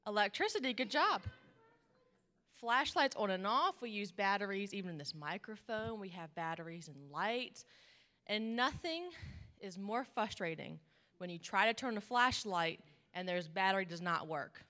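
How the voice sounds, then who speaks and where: A young woman giving a children's message in a church sanctuary, animated and encouraging, asking questions into a handheld microphone. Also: medium pitch, moderate pace. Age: young adult. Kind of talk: children's sermon, interactive talk. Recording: reverberant church hall, live PA.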